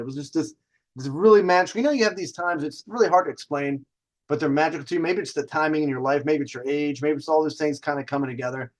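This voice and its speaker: A man hosting a casual storytelling session with dating advice, talking in a cocky, conversational way and swearing freely. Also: a little high. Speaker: host telling personal stories and dating advice.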